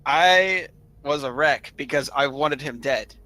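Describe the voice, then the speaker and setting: Guy w a highish bright punchy voice, casual storytelling, swears a lot, really emphatic. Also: takes it slowly, low-quality recording. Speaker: online gaming group member telling stories.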